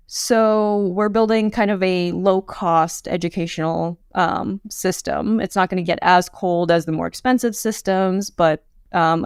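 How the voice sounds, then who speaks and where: A young adult woman as a guest on a podcast interview, talking casually with lots of fillers and quick laughs. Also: fairly low pitch, creaky, clear, resonant tone, no echo, studio quality. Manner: chatty, many fillers, laughs often. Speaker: guest, quantum hardware startup.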